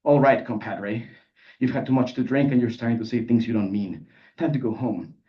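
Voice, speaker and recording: A man's voice: mid-range voice, brisk, close to an everyday voice. A man voicing a touchy, macho male grad student in a live story reading, slangy and posturing. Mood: angry, threatening. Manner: slangy, earnest, then threatening. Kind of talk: character dialogue in a live literary reading. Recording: dull sound, distant microphone.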